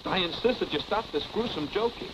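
Suave husband, very lively delivery. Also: male voice, sits high, a little fast, background noise. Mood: exasperated. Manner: suave, wry banter. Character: suave, irritable philandering husband. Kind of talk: 1940s Hollywood film acting. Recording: old film soundtrack, music behind.

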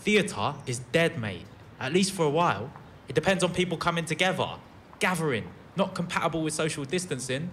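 A man's voice: on the high side, animated, brisk, fairly bright tone. An actor playing a blunt, laid-back young man behind a camera in an audio drama, casual and matter-of-fact, with a British accent. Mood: blunt, insistent. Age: young adult. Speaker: actor in a fiction podcast. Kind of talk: naturalistic audio drama dialogue. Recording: faint ambient background noise.

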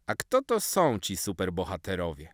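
A man's voice: deep voice, huge pitch swings, normal speed, no room sound, clean studio sound. A man voicing a patient elderly grandmother in a children's story, explaining things affectionately to her grandson.

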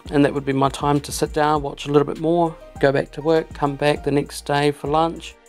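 A man's voice: a little high, brisk, soft background music. A man hosting a fan video, talking casually to camera with lots of fillers. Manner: relaxed, filler-heavy talk. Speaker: host.

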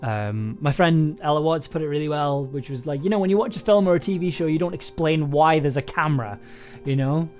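Higher-voiced young man, rambling livestream chat. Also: fairly quick, darkish tone, faint music behind. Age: young adult. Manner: rambling, hesitant, self-interrupting. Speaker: audio drama maker and livestream host. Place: small indoor home room.

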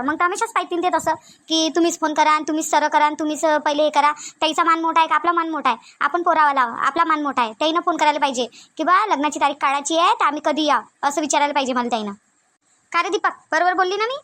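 A high-pitched cartoon matriarch played by a woman with a Varhadi Marathi accent. She is proud, nagging and quick with sarcasm. Every remark comes out like a scolding from the head of a village household. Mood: indignant, sarcastic. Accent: Varhadi Marathi of Vidarbha. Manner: sharp-tongued, nagging, sarcastic. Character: proud, scolding, sarcastic middle-aged mother-in-law. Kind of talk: comic village family cartoon dialogue.

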